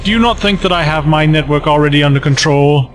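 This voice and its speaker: A man's voice: a little high, fast talker, lightly acted. A man playing a cruel, commanding Time Lord villain in an audio drama, pompous and menacing. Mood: smug menace. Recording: music behind.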